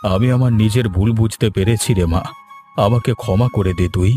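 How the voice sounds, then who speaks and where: A man's voice: fairly low pitch, normal speed, mellow, natural voice. Man playing an elderly landowner father over music. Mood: apologetic. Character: elderly landowner father, trusting, hurt, then stern. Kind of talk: Bengali cartoon dubbing.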